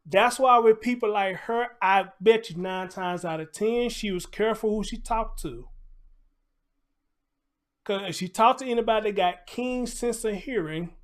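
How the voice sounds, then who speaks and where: An American man hosting a casual live commentary show, talking conversationally with preacher-like asides, repeated phrases and rhetorical questions. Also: sits high.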